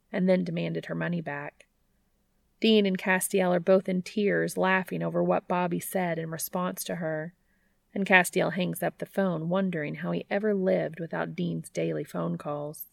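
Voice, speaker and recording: Low, creaky-voiced woman narrating a story. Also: no room sound, clean studio sound. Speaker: audiobook narrator of fan fiction.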